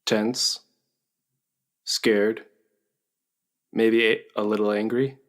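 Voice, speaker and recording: An actor playing a dazed, brainwashed big male prisoner in a radio play, giving halting, vacant replies. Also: lowish voice, little pitch movement. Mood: dazed, uneasy.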